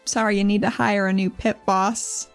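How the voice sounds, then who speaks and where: A woman playing a dry, guarded, defiant female leader of mercenaries in a tabletop roleplaying game, speaking deliberately. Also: medium pitch, flat delivery, gravelly, soft background music, close to an everyday voice.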